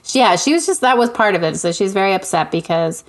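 A woman co-hosting a podcast, telling a story in casual chat with lots of laughter and a high, youthful voice she calls a baby voice. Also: animated, fast talker. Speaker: podcast co-host.

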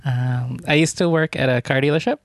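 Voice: mid-range voice, brisk, dry recording, clean studio sound. A podcast guest chatting casually and telling personal anecdotes in a self-deprecating way, often laughing while talking.

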